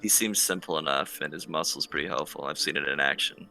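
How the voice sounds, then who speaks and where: Low, gravelly man at a game table. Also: fairly quick, sharp tone, no room sound, faint music behind, poor audio. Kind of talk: casual in-game discussion.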